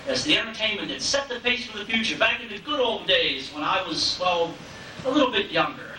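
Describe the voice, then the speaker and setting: A man's voice: high voice, expressive, fairly quick, bright, forceful tone, heavily acted. A man playing a genial, nostalgic variety show host with scripted patter, heard from a distance in an echoey hall. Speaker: stage show presenter. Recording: echoey hall, distant mic, slight tape noise.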